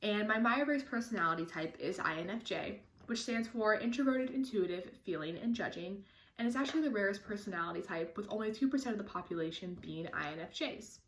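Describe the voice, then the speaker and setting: A woman's voice: mid-range voice, lively intonation, a little fast, reverberant, poor audio. A teenage girl earnestly reading a prepared personal statement for a scholarship application. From United States. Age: teens.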